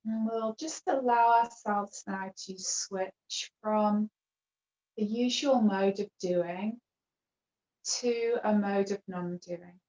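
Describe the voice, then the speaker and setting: A woman yoga teacher with a mid-pitched voice leads a guided relaxation. She talks slowly and gently, leaving long gaps between phrases. The sound is slightly muffled and lo-fi, like a video call recording. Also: fairly even intonation, roomy sound. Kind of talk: guided meditation instruction.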